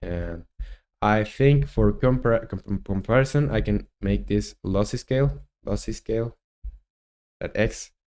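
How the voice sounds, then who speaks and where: A young man with a Chilean Spanish accent talks through code on a live stream, halting often to think. His speech is unhurried and his voice sounds smooth and resonant. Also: lowish voice, darkish tone. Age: 20s. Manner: halting, thinking aloud with many fillers. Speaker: game developer streamer. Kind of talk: live coding stream commentary.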